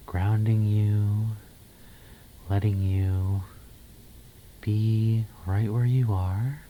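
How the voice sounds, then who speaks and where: Slow, soft, half-whispering man, mellow and low. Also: a little hiss or hum. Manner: soothing, slow, gentle, pausing between phrases. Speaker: ASMR host guiding meditation. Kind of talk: guided meditation ASMR.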